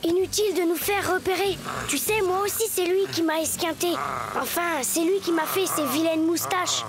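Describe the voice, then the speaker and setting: A woman's voice: extremely high pitch, moderate pace, music in the background. A woman voicing a plucky, kind little boy hero in a cartoon. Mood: urgent. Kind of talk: French animated series dub acting.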